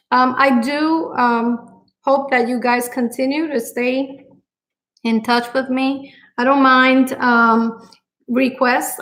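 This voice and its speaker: A middle-aged woman from Puerto Rico with a strong Puerto Rican accent, chatting live with her viewers and thanking them, with many pauses and fillers. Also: fairly high pitch. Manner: warm-hearted, grateful, frequent fillers and pauses. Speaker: cooking channel host. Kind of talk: live stream chat with viewers.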